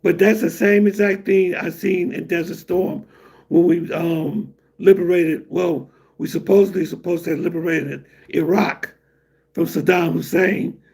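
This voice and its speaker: A man's voice: sits high, steady tone, unhurried, vocal fry, darkish tone, breathy edge. A middle-aged man from the United States hosting a casual livestream and rambling loosely to his viewers. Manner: loose, rambling, laughs at his own jokes. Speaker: livestream host.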